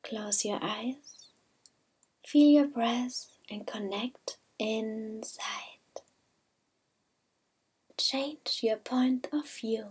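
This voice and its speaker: Female meditation guide, higher-pitched but mellow, gentle and unhurried, soft to near-whisper. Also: slightly rough. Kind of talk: slow soothing guided meditation.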